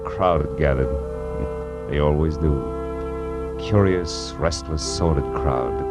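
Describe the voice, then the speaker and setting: Deep-voiced, world-weary radio detective. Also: male voice. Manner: brooding, sardonic. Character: world-weary, cynical male private detective narrating his case. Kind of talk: 1940s radio drama narration. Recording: muffled old radio recording, loud music.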